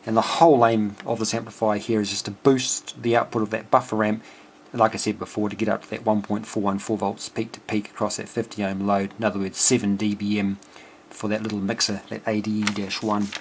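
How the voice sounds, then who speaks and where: Low-voiced man explaining electronics. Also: level tone, brisk, a little hiss or hum. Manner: methodical, thinking aloud, matter-of-fact. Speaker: amateur radio builder explaining his circuit. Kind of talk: informal technical walkthrough.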